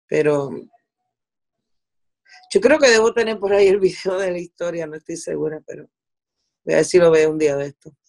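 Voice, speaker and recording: A middle-aged woman with a Puerto Rican accent and a medium-pitched voice. She recounts her working life as a journalist in a conversational way, repeating words for emphasis. English words slip into her Spanish. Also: darkish tone. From Puerto Rico. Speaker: interviewee, veteran television journalist. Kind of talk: conversational recollection in an interview.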